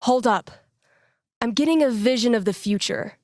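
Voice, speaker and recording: A woman's voice: fairly high pitch, moderate pace, a little mellow, dry recording, studio quality, lightly acted. An actress playing a wry, playful young American woman in a video game, with casual radio DJ patter and a teasing way of talking. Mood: upbeat, wry.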